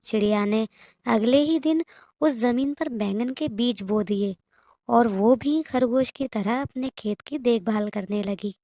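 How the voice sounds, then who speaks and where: A woman's voice: on the high side, unhurried, dark tone, dry recording, clean studio sound. A woman narrating a children's moral story in a scripted storytelling style.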